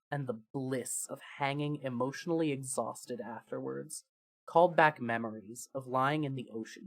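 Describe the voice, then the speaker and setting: Dramatic storytelling narrator, medium-pitched voice. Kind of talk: dramatic scripted narration of fan fiction.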